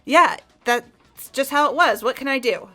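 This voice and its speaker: A flustered young woman suspect, played by a woman in a fan-voiced game drama, stammering and defensive. Her voice is bright and sharp, pitched a little high. Light music plays behind her. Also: unhurried. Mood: nervous.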